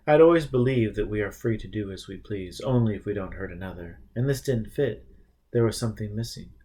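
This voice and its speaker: A man's voice: fairly low pitch, a little fast, mellow, slightly rough. A man reading a first-person novel aloud as dramatic scripted narration. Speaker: audiobook reader.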